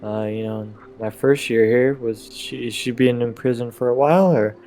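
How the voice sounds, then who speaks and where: A man playing a tabletop role-playing game, talking casually in character in a halting way. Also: mid-range voice, darkish tone, slight background noise.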